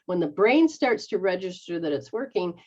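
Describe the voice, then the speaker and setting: A woman's voice: fairly low pitch, big pitch swings, moderate pace, mellow, lo-fi sound. A middle-aged woman, a metaphysical practitioner, explaining healing techniques in a rambling, casual way and checking that she is understood. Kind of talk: casual instructional chat.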